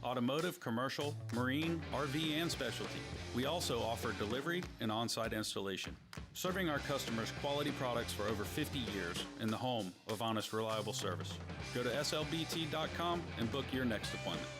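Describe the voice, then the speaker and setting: American ad man over loud guitar music. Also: mid-range voice, animated, fairly quick. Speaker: commercial announcer. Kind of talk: scripted radio ad read.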